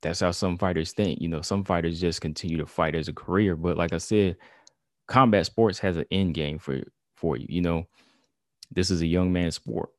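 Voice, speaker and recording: A man's voice: low-pitched, normal speed, no echo. A man, chatting casually into the mic. His talk is loose and conversational, full of "you know" and "y'all". The sound is close and dry, with no room around it. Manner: conversational, heavy on "you know" and "y'all", reads quotes aloud. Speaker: combat sports commentator. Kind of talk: casual commentary monologue.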